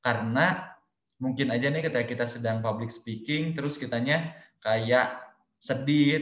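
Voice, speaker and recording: A man giving a webinar lecture on public speaking, explaining step by step in numbered points and talking casually to his listeners as friends, over a computer or phone mic on a video call. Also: mid-range voice. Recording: roomy, dull lo-fi webinar audio.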